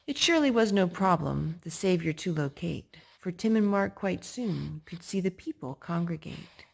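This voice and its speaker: A woman reading a rhyming children's story aloud in a deliberate storyteller's way, phrased for young children. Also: fairly low pitch, expressive, gravelly, breathy edge.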